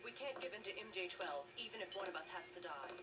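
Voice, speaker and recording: A woman's voice: lowish voice, lively intonation, fast talker, natural voice. A woman playing a wary, resolute member of a scientists' group in a video game, earnest in delivery. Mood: wary resolve. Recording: distant game capture with music.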